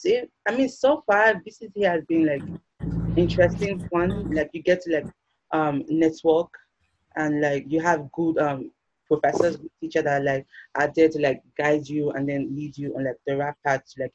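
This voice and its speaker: A young woman with a Nigerian accent, a student guest speaking casually and haltingly in a podcast interview. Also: low-pitched, darkish tone, highs cut off. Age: young adult. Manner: hesitant, filler-heavy with frequent "like".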